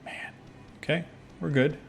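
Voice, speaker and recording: A man's voice: measured pace, slight background noise. A man talking casually and candidly while playing a video game, rambling with many fillers and restarts. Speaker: gaming video creator. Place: abroad, recording at a computer.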